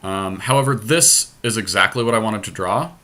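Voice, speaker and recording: Bright-voiced, low-pitched man talking strategy. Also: normal speed, soft background music. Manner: thinking aloud, deliberating over options. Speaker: podcast host playing a card game. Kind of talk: casual strategy commentary while playing a card game.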